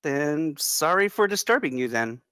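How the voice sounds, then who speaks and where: A woman's voice: sits low, big pitch swings, normal speed, fairly bright tone, clear, resonant tone, no echo, studio quality. A woman playing a flirty, confident woman in her twenties at a screenplay table read. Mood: teasing.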